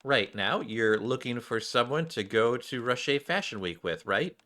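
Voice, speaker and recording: Confident game mentor woman, read by a man, upbeat, reassuring, direct, bright delivery. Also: mid-range voice, lively intonation, moderate pace. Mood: encouraging.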